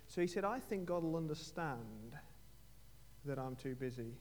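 A man's voice: medium pitch, animated, moderate pace, a little mellow, a little hiss or hum. A man interviewing a guest, asking long, rambling questions with frequent hesitations. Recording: echoey hall.

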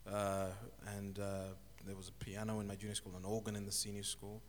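A middle-aged man from Zimbabwe, a guest telling his life story in an interview, with thoughtful, halting speech and many restarts. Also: lowish voice, completely flat delivery. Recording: echoey hall with faint noise.

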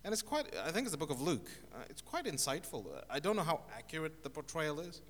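A middle-aged man from Zimbabwe, a guest telling his life story in an interview, with thoughtful, halting speech and many restarts. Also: on the high side, big pitch swings, fairly bright tone. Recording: echoey hall with faint noise.

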